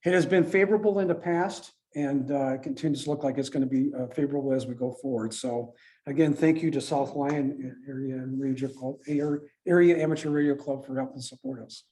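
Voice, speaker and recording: A man giving an informal club presentation and answering questions as an organizer, with a halting, hesitant delivery. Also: medium pitch, mellow, rough recording.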